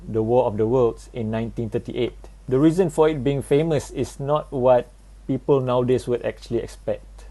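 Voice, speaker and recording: A young man delivers a student presentation in an explanatory style. His voice is soft-edged and mellow, with a mid-range male pitch. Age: 20s.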